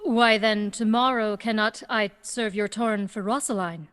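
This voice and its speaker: A woman playing a self-assured young woman disguised as a youth in a Shakespearean radio play, brisk and declamatory. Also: medium pitch, steady tone, fairly bright tone, clear, resonant tone, lightly acted. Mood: confident, purposeful. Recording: long echoing reverb.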